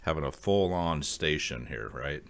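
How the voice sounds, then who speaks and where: A man talking casually to viewers as a video host, explaining things in a rambling, halting way. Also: rumbling low, lively intonation, gravelly, fairly bright tone.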